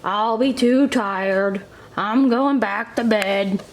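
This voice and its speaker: Mom doing a sleepy grumpy toad from a storybook, slow and weary, drags the words out. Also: female voice, mid-range voice, background noise, natural voice. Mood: sleepy, reluctant. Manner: drawn-out, weary delivery. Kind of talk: children's storybook read aloud.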